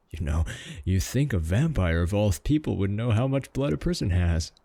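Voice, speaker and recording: A man acts out a boastful, doting male werewolf in a comic audio drama. His voice is lowish and husky, with big swings in pitch as he drawls and mocks his rival. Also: animated, normal speed, mellow, dry recording. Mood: mocking. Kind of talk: comedic audio roleplay acting.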